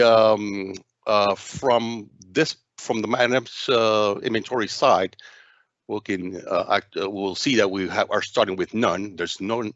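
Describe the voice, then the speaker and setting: A man narrating a software demo walkthrough as its presenter, speaking in a halting, hesitant way with frequent stumbles. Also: lowish voice, dry recording, muffled recording.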